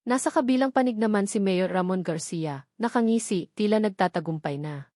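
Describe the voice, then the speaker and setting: A woman's voice: mid-range voice, moderate pace, warm tone, dry recording, studio quality. A woman with a Filipino accent reading a dramatic first-person story as a narrator.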